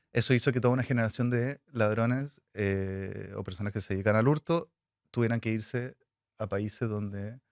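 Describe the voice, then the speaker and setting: A man answers questions about his work, pausing often and restarting his sentences. His voice sits on the low side and comes across smooth and clear. The recording is close and dry. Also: no echo. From Chile. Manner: halting, many fillers and restarts. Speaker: filmmaker guest. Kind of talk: interview answers.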